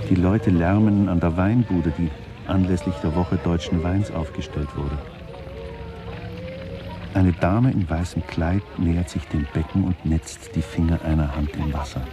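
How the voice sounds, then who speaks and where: A man reading literary scripted narration for a radio play. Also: low-pitched.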